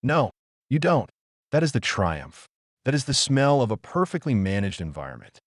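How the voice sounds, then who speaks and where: A man's voice: medium pitch, sing-song, normal speed, no echo, studio quality. A man playing a deranged, pious, controlling middle-aged father in a scripted audio drama monologue, sanctimonious and coldly self-justifying in short emphatic phrases. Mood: fervent, reassuring.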